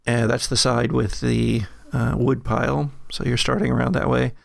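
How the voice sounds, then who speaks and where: A man narrating a horror tabletop game as game master, telling it in a halting, descriptive way. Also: mid-range voice, little pitch movement, gravelly, dry recording, clean studio sound.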